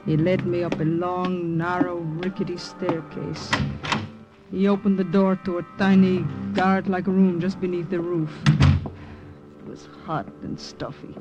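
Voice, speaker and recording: An actress playing a brave, wary young woman recruit in a 1940s wartime radio drama, with earnest, halting, dramatic delivery. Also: fairly low pitch, level tone, lo-fi sound, lightly acted. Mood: tense narrating. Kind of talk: 1940s radio acting and first-person narration. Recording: old radio drama with music.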